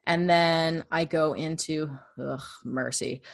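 Chatty woman with a low voice. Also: takes it slowly, gravelly. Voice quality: low-registered voice. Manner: chatty, explains her process step by step. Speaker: true crime podcast host. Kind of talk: casual conversation.

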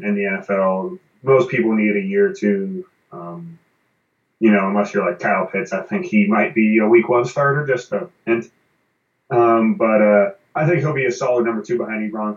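A man giving casual sports analysis as co-host, measured and hedging with ums. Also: on the low side. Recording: dull echoey call audio.

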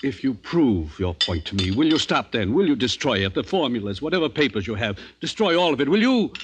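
An actor playing a devout, fearful minister, earnest and pleading, in the emphatic style of 1950s radio drama. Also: male voice, medium pitch, sing-song, fairly quick, noisy background, close to an everyday voice. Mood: stern, urgent.

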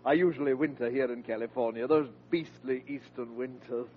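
An actor playing a pompous, freeloading uncle who poses as a wealthy gentleman, with haughty, florid enunciation in classic radio comedy style. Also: medium pitch, steady tone, fairly quick, lightly acted. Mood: grandly boastful. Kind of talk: 1940s radio comedy acting. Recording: dull, noisy old radio recording.

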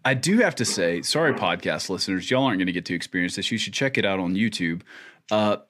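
A male podcast host with a somewhat low voice. He chats casually, jokes easily and restarts his sentences often. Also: quick pace, slight background noise. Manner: relaxed, joking, many restarts. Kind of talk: casual video podcast chat.

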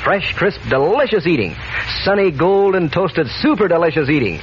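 A male radio announcer pitching to kids in folksy 1940s style. His voice sits fairly high and is very lively, on a muffled vintage recording with faint noise. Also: normal speed. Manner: folksy, enthusiastic salesmanship. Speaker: sponsor announcer. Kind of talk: 1940s radio cereal advertisement for children.

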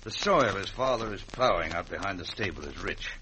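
An actor playing a suave, cold-blooded English gentleman gunslinger in an old-time radio western, with pompous, mock-genteel formal speech. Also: sits low, animated, a little fast. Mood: smug, mock-genteel. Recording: noisy vintage radio recording.

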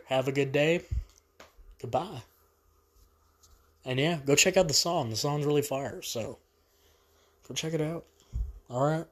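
Stuffy-nosed man rambling about music. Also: a little high. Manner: rambling, repeats himself, casual slang. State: stuffed up from allergies. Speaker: music review host. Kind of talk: casual spoken review.

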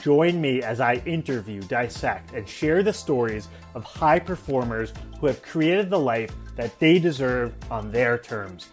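A man's voice: mid-range voice, animated, unhurried, few pauses. A man reading an upbeat, scripted introduction to his own show. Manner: upbeat promotional delivery. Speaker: show host. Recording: voice over faint music.

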